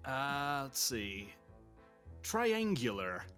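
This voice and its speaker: Casual male game player, mid-pitched, extremely slow and hesitant. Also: big pitch swings, fairly bright tone, faint music behind. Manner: hesitant, thinking aloud. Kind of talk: casual chat.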